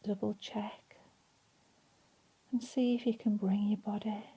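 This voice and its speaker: A woman leading a guided relaxation in a soft, breathy, soothing voice, leaving long pauses between phrases. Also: mid-range voice, little pitch movement, muffled recording. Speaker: meditation and relaxation instructor.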